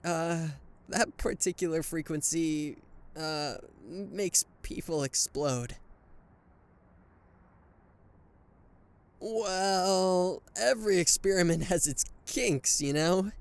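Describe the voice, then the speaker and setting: A man's voice: a little high, takes it slowly, smooth. A man voicing a smug male anti-hero villain who gloats and teases a captured hero in an ASMR roleplay, with a mocking, flirtatious drawl. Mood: flustered. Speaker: voice actor.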